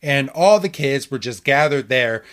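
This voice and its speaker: A man's voice: mid-range voice, expressive, measured pace. A man hosting a podcast, chatting casually through a recap and often stammering and repeating his words as he goes. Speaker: podcast host. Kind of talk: casual chat, episode recap.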